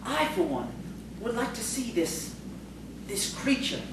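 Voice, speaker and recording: A woman playing an earnest, polite, excitable male schoolmaster in audio theatre, with a hesitant, genteel manner. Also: a little high, measured pace. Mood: uneasy. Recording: very echoey, distant, noisy room.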